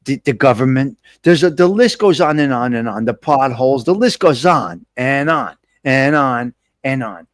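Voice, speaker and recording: A man's voice: mid-range voice, expressive, no room sound, studio quality. A man with a North Jersey accent hosting a casual live talk show, bantering and reading out viewer comments. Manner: chatty, quick asides, jokey banter with viewers.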